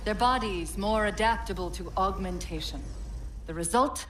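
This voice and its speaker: A woman playing a proud, unrepentant middle-aged military scientist in a video game, speaking in a measured, self-assured way. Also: medium pitch, a bit bright, slight background noise, natural voice. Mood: cool, clinical. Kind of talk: cinematic game acting.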